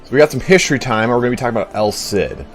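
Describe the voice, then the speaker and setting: A man streaming a game while giving a casual, rambling history talk, hoarse today, with blunt profanity and shout-outs to donors. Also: medium pitch, big pitch swings, rapid-fire, soft background music. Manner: rambling, profane, reads donations aloud.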